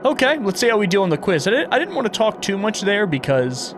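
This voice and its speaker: A man doing casual video game playthrough commentary, reading prompts aloud and reacting as he thinks out loud. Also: high-pitched, big pitch swings, fast talker, background noise. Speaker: gaming content creator and streamer.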